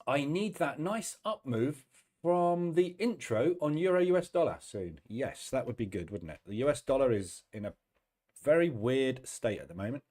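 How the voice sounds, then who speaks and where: A middle-aged man with a deep, throaty, hoarse voice from a cold, hosting a casual live trading stream and chatting between fillers. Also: lively intonation, moderate pace, a little mellow. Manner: chatty, greets viewers by name, many fillers.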